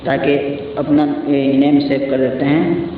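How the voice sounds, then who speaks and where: A man's voice: medium pitch, completely flat delivery, slow pace. A man hosting a casual step-by-step phone app tutorial, often repeating little check-in phrases as he explains. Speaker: tutorial host. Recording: echoey, muffled, distant mic, noisy background.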